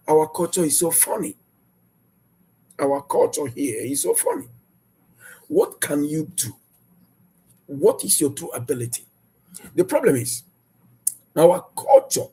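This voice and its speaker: A man preaching a sermon emphatically, repeating rhetorical questions and jumping into sudden high bursts of emphasis. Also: wildly swooping pitch, takes it slowly, creaky, long pauses, warm tone, slightly rough.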